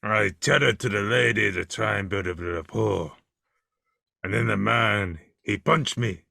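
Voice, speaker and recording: A man's voice: on the low side, unhurried, creaky, sharp tone, no echo, studio quality. A man hosting a podcast interview, asking teasing, jokey questions. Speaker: podcast host. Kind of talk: casual interview.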